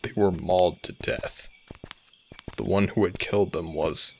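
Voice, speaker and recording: Man acting as a very deep, gravelly old werewolf alpha. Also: steady tone, darkish tone, a little hiss or hum. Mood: somber storytelling. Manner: slow, brooding, deliberate. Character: world-weary, sardonic old werewolf alpha male, centuries old. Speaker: audio drama voice actor. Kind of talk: dramatic audio roleplay acting.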